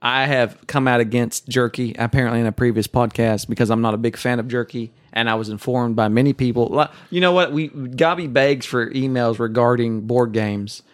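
A man's voice: mid-range voice, fairly quick, few pauses. A man from Oklahoma with an Oklahoma accent, co-hosting a casual podcast and rambling in mock irritation. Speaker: podcast co-host.

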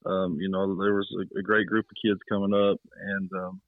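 A man's voice: low-pitched, flat delivery, normal speed. A man of thirty, chatting modestly and politely as a coach interviewed over the phone. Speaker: high school football coach, interview guest. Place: on a phone call. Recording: muffled, roomy phone line.

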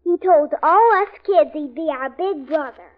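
A female performer's little orphan in a forties radio play, tiny and very high-voiced. The child is blunt, innocent and full of curiosity. The recording is tinny and narrow. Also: moderate pace, lightly acted. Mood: curious, innocent. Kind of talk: 1940s American radio drama acting.

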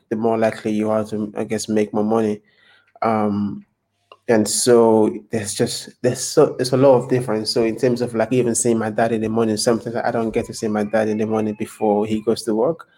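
A man's voice: fairly low pitch, dark tone. Hesitant man from Nigeria. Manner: hesitant, thoughtful, many ums and restarts. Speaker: podcast guest. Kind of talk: casual interview chat.

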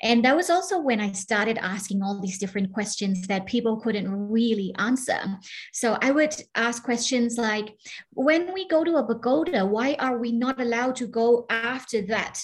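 Higher-pitched woman from Burma telling her story. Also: expressive, moderate pace. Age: 30s or older. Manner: conversational, quotes imagined dialogue, says "like" often. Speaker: podcast guest, coach and entrepreneur. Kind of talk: casual personal storytelling in an interview.

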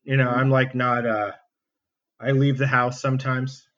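A man talks casually as an interview guest. He is laid-back and a little hesitant, with a medium-pitched voice. The sound is slightly dull, as from a remote call. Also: level tone, unhurried, fairly bright tone. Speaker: interview guest, band musician.

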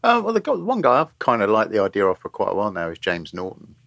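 Very low-pitched male podcast guest, loose and rambling delivery, stops and restarts often. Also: wildly swooping pitch, quick pace, creaky, a bit bright. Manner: rambling, many restarts and asides. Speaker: podcast guest, film journalist. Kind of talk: casual podcast discussion.

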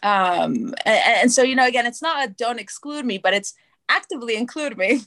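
A woman's voice: a little high, expressive, moderate pace, fairly bright tone. A woman from Canada speaking thoughtfully as a panel guest, often restarting words. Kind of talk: casual panel discussion.

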